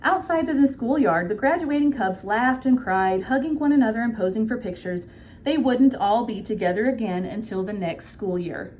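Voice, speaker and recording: A woman's voice: a little high, lively intonation, moderate pace, a little mellow, a little hiss or hum. A woman reading a children's book aloud like a teacher, explaining simply and prompting her listeners with questions.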